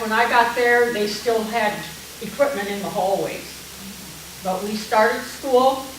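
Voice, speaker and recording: A woman in her seventies with a big voice, a retired teacher telling a small group about herself in a lively, joking way. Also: mid-range voice. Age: 70s. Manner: lively, chatty, jokey storyteller. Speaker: guest speaker, art teacher. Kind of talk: casual talk to a small group. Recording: echoey distant room sound with hiss.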